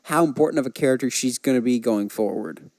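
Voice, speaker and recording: A man's voice: mid-range voice, quick pace, a little mellow, no room sound. A man co-hosting a podcast, chatting casually about films like an opinionated, enthusiastic fan. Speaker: podcast co-host. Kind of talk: casual film chat.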